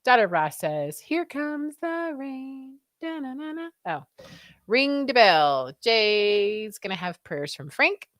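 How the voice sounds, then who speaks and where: A woman's voice: fairly high pitch, big pitch swings, slow pace, a bit bright, no echo, studio quality. A woman with a scratchy voice hosting a live stream, chatting casually and reading out viewer comments. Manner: chatty, rambling, reads comments aloud. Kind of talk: casual chat.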